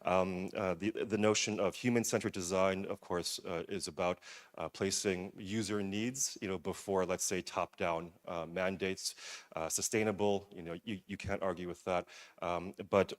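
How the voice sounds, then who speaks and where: Man, deep voice, conference talk, hesitant, lots of fillers. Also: fairly even intonation, normal speed. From United States. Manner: hesitant, many fillers and restarts. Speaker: museum director presenting at a forum. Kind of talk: conference presentation.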